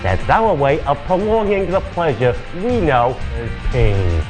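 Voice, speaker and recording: An actor playing a smarmy, gleefully sadistic host of a futuristic TV race show, theatrical, mock-cheerful and sardonic. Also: male voice, fairly high pitch, sing-song, measured pace, over music, poor audio. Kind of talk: theatrical game show presenting.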